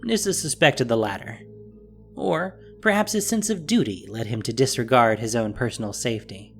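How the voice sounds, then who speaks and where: A man's voice: medium pitch, moderate pace. A man reading scripted story narration as the narrator of an audio drama. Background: music.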